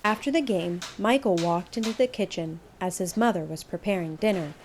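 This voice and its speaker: A woman reading a children's picture book aloud as a storyteller, in an expressive, measured way. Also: on the low side, slight background noise.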